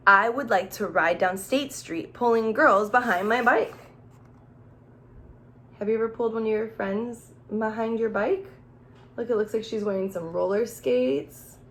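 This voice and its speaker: Expressive woman reading a storybook to children. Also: mid-range voice. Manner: expressive, playful, with gasps and exclamations; simple explanations and questions addressed to young children. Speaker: teacher reading aloud to children. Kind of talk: children's storybook read-aloud with questions and asides.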